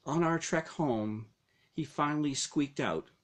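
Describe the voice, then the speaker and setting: A man reading his own first-person story aloud as narration, with dramatic, deliberate phrasing. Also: on the high side, fairly bright tone.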